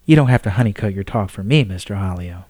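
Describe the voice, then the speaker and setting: A man voicing a deposed female religious leader who speaks in a quiet, measured way. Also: on the low side, animated, no room sound, studio quality. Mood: guarded.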